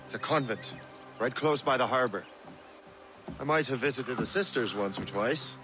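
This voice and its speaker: A man's voice: medium pitch, moderate pace, natural voice. Guy playing young assassin in a game cutscene, wry and determined, music behind him. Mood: assured. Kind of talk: video game cutscene acting.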